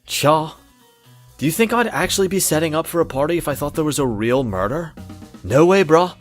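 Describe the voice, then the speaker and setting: An actor playing a brash young frat bro, cocky, slangy and dismissive. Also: male voice, a little high, lively intonation, fairly quick, faint music behind, close to an everyday voice. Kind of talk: voiced game dialogue.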